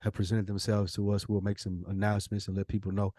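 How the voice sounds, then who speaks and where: A man's voice: on the low side, flat delivery, fast talker, dark tone, no room sound, studio quality. An American man co-hosting a podcast, chatting casually in an informal, slangy way. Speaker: podcast co-host.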